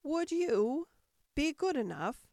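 A woman's voice: a little high, lively intonation, moderate pace, no echo, studio quality, lightly acted. A woman voicing a polite, curious and sensible young girl in a storybook reading, with a questioning manner. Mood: breathless, pleading. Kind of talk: storybook character voice.

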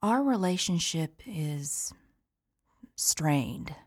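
A woman's voice: deep voice, animated, extremely slow, a little mellow, husky, full character voice. An actress playing an imprisoned mother who worries about her children, weary and resentful in an audio play monologue. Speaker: incarcerated mother.

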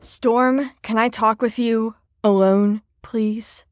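Firm, exasperated carer, higher voice. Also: female voice, fairly even intonation, slow, slight background noise. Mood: firm, stern. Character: exasperated, firm female carer on a patient ward. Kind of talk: audio drama acting.

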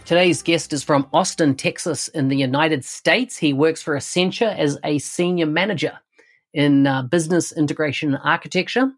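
A man's voice: fairly high pitch, normal speed, soft background music. Upbeat male podcast host. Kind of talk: podcast interview.

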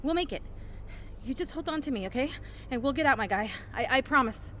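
A woman's voice: high voice, animated, a little fast, a bit bright, a little hiss or hum. A woman playing a plucky, protective hiker in an audio drama, coaxing and slangy. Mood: reassuring.

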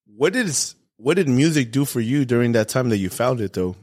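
A young man hosting a casual podcast, slangy and relaxed, giving shout-outs and asking questions. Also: mid-range voice, a little fast. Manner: laid-back, slangy, gives shout-outs. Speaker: podcast host.

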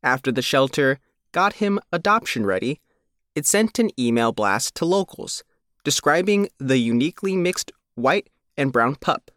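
Guy reading a news feature story, very up and down pitch, dry close sound, measured phrasing. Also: very animated, a bit bright, no echo. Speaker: reporter reading his own written feature. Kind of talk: scripted news feature narration.

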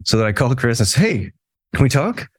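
A man's voice: medium pitch, very animated, quick pace, no echo, studio quality. A Canadian man with a Canadian accent, a guest on a podcast, who chats in an easygoing way with self-deprecating humor. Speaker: customer support engineer, guest. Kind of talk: casual podcast chat.

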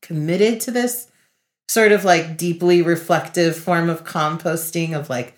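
A woman with a notably low voice chats as a podcast co-host. Her delivery is casual and conversational, with an earnest, introspective way of talking. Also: steady tone, a little slow. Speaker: podcast co-host, facilitator and speaker. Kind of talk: casual reflective podcast chat.

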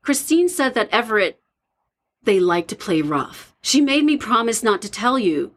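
A woman's voice: a little high, big pitch swings, moderate pace. Actress as a worried, defensive young woman. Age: young adult. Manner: hesitant, defensive. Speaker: actor in an audio drama. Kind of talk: naturalistic audio drama acting.

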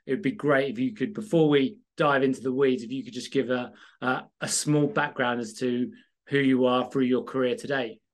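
A man's voice: mid-range voice, steady tone, normal speed. A man hosting a podcast interview, asking long, rambling questions with fillers. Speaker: podcast host.

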